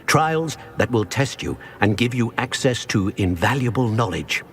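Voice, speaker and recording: An actor playing a grave old wizard professor in a video game, speaking in a formal, measured, solemn way. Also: male voice, mid-range voice, expressive, a bit bright. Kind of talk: cinematic video game acting.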